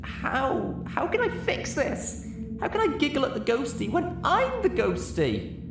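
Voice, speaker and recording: A man voicing a manic, tearful female cartoon pony in a shrill falsetto, childlike, earnest and emotional. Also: huge pitch swings, a little slow, gravelly, reverberant, far from the mic, over music, heavily acted. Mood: sad, tearful.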